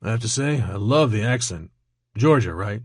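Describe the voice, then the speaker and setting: Man reading as an easygoing, lively-pitched male charmer. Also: normal speed, husky, no echo, clean studio sound. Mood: easygoing, interested. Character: laconic male traveler, charming, casually flirtatious. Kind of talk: audiobook character dialogue.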